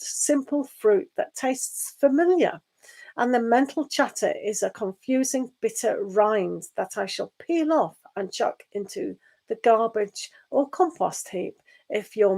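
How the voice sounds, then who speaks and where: A woman giving a live online tarot reading and losing her voice. She talks in a meandering, gentle way and reads the card texts aloud. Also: mid-range voice, gravelly. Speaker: tarot reader.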